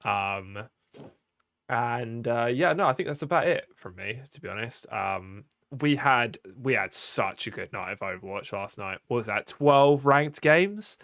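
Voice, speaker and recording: A young man co-hosting a podcast in casual chat, teasing his co-host in a dry, deadpan way. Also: medium pitch, measured pace, creaky, dry recording.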